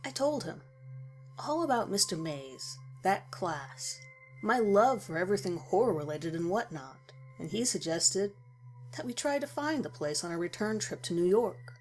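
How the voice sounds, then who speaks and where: A woman narrates a first-person horror story at a middle pitch, reading from a script. Music plays softly beneath her voice. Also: very animated, moderate pace, poor audio.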